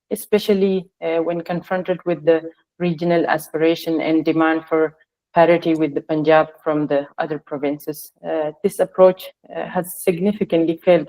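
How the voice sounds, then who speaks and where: A woman whose first language is Pashto, giving halting formal opening remarks as a session chair. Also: lowish voice, dark tone, rough recording. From Pashtun region. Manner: halting, frequent uh fillers.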